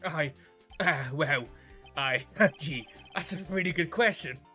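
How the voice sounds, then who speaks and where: A man's voice: a little high, takes it slowly, pushed and bright. Man reading a nervous, evasive murder witness. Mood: flustered. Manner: hesitant, stammering, over-explaining. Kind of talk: visual novel game dialogue read aloud. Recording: game music behind the voice.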